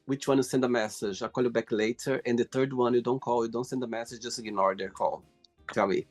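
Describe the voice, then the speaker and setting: A man's voice: medium pitch, steady tone, fairly quick. Male interview host over guitar music. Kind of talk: casual interview questioning.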